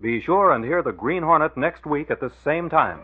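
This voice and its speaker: A man's voice: fairly high pitch, lively intonation, normal speed, phone-quality sound, background noise. American radio announcer man, thin vintage sound. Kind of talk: 1940s scripted radio announcing. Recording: thin old radio broadcast.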